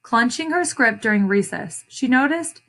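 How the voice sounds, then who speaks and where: A woman reading a picture book aloud like a teacher, deliberate and expressive, pausing to explain and repeat phrases for young listeners. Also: on the high side. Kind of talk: children's read-aloud with short explanations.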